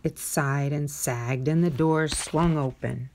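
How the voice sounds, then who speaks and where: Woman reading a kids book out loud, deep-ish voice, real slow n expressive. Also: slight background noise. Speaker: storybook reader. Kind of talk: expressive scripted children's story narration.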